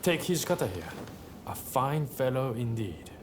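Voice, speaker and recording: A man's voice: mid-range voice, very animated, normal speed, background noise, lightly acted. A man playing a cocky, flamboyant rebel samurai and gambler in a video game cutscene, with a sardonic, theatrical drawl. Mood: mocking.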